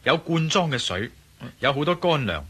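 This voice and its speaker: An actor playing a confident, determined adventurer hero in a Cantonese radio drama, breezy and assured, with a Hong Kong Cantonese accent. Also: male voice, a little high, very animated, normal speed, fairly bright tone, breathy edge, exaggerated voice.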